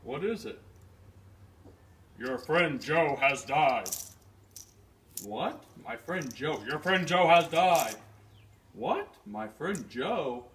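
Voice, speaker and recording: A man's voice: on the high side, animated, slow pace, long pauses, fairly bright tone. A man acting out melodramatic puppet figures trading bad news in an amateur skit. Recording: distant mic, faint noise and rattling.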